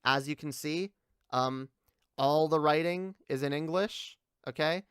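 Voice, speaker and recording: A man with a smooth, clear voice of middling pitch, recorded dry and close. He talks as a livestream host reacting off the cuff, rambling, repeating himself and swearing casually. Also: measured pace, no room sound. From Canada. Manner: rambling, repetitive, liberal use of "like" and swearing.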